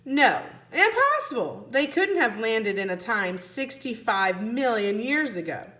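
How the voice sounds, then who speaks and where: A woman's voice: a little high, sing-song, a little slow, sharp tone, echoey room. A woman teacher reading a children's storybook aloud expressively, putting questions to young listeners. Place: indoor room, book held on camera.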